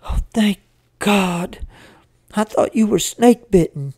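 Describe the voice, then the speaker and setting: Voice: mid-range voice, big pitch swings, unhurried, vocal fry, dark tone, husky, full character voice. A narrator voicing an anxious, fiercely protective farm mother in a storybook, emotional and fretting. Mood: relieved, worried.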